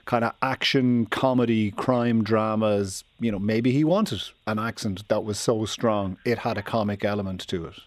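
Irish radio host asking questions. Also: male voice, fairly low pitch, moderate pace, few pauses, dry recording. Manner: probing questions, informal, repeats words. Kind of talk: radio talk show interview.

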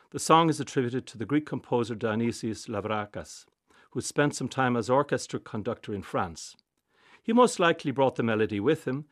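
A man delivers scripted narration in formal, carefully composed phrases. His mid-range voice moves freely up and down in pitch, and the recording is dry. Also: no room sound.

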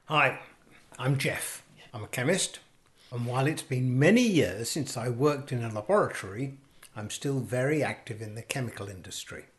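Chemist guy explaining stuff calmly, plain everyday voice. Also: mid-range voice. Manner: measured, explanatory. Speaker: chemist guest.

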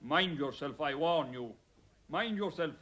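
A man's voice: fairly high pitch, animated, measured pace, a bit bright. A man, playing a stern, nagging boss in a vintage radio drama. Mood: scolding. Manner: scolding, clipped and authoritative.

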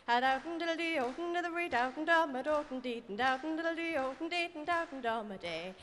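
A woman voicing a young girl lilting a reel in high, bouncy wordless syllables on a stage. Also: quick pace, fairly bright tone. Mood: lively. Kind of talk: lilting, wordless diddling song. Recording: live stage with long reverb and noise.

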